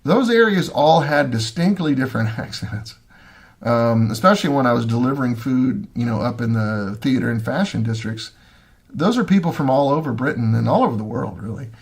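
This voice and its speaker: Livestream host: a middle-aged Midwestern man with a gravelly voice, loose and rambling, lots of ums. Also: medium pitch, animated, fairly quick. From Missouri, USA. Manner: rambling, many ums and restarts. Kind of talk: casual chat, answering viewer comments. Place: home.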